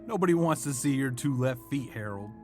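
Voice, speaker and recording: A man's voice: mid-range voice, lively intonation, a little fast, darkish tone, lightly acted. An actor playing a brusque male mover from an auction house who orders his partner around. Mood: impatient. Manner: brusque, bossy toward partner. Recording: music bed behind.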